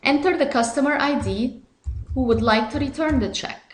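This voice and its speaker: A woman reading scripted step-by-step software instructions in a measured, instructional way. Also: sits high, echoey room, a little hiss or hum. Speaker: software tutorial narrator.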